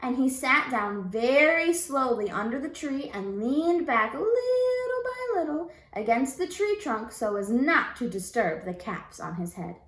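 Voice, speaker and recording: A woman teacher reading a storybook aloud to young children in an expressive, deliberate way. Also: high voice, roomy sound, low-quality recording. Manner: deliberate, expressive, prompting listeners with questions.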